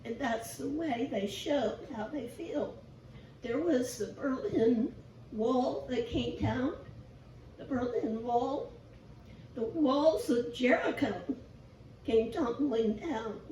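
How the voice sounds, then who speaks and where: Woman lay preacher, squeaky and raspy, self-deprecating, slow delivery, distant echoey church recording with faint hiss. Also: dark tone. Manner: chatty, self-deprecating, asks the congregation questions. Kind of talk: informal sermon. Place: church sanctuary.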